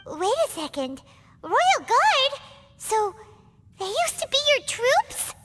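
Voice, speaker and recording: A woman's voice: very high voice, slow, noisy background, exaggerated voice. A woman voicing a tiny, chirpy, nosy fairy-like female companion in a video game, who refers to herself in the third person. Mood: curious. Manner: excitable, curious, speaks of herself in third person. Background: game music.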